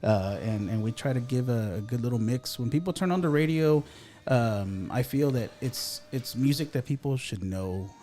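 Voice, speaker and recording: A man, chatting casually as a radio station guest, relaxed and often laughing, dropping in Spanish words. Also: mid-range voice, moderate pace. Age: 30s. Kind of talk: casual studio chat.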